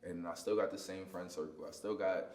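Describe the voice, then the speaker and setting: Deep-voiced laid-back New man. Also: fairly even intonation, brisk, a little mellow. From United States. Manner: laid-back slangy talk with frequent "like". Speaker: spoken word poet guest. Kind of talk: casual interview chat. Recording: echoey room.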